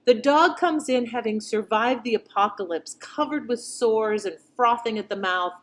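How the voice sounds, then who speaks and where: A woman's voice: on the high side, moderate pace. A middle-aged woman hosting with casual, conversational and reflective commentary. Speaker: channel host. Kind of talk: casual commentary on old radio shows.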